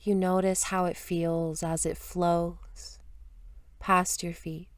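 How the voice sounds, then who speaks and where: A woman leading a guided meditation in a soft, gentle, soothing voice, with unhurried phrases and long pauses, at times breathy. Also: on the low side, flat delivery, dry recording. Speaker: meditation teacher.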